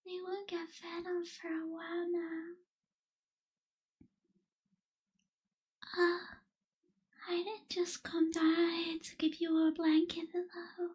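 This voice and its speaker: Woman voicing a very high, soft, slow vampire girl. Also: completely flat delivery. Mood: apologetic, confiding. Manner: soft-spoken, often breathy and whispery, hesitant, tender. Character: gentle, caring young female vampire tending a prisoner. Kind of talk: ASMR roleplay, intimate scripted acting.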